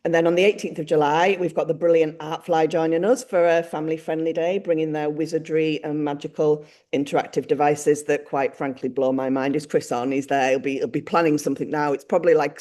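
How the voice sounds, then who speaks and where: A woman's voice: low-pitched, level tone, fairly quick, few pauses, warm tone. A woman presenting upcoming events informally, chatty and playful with a self-deprecating touch. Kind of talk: informal live event update.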